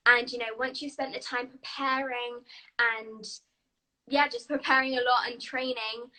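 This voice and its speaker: A woman's voice: a little high, steady tone, sharp tone, rough recording. A young British woman, an actress, answering interview questions in a chatty, upbeat way. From United Kingdom. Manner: chatty, upbeat, quick to laugh.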